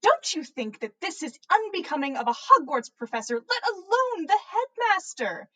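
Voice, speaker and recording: A woman's voice: very high voice, very animated, normal speed, bright, forceful tone, voice pushed up, heavily acted. A woman voicing a prim, flustered, disapproving older female professor in a storybook read-aloud. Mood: peeved, flustered. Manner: prim, indignant.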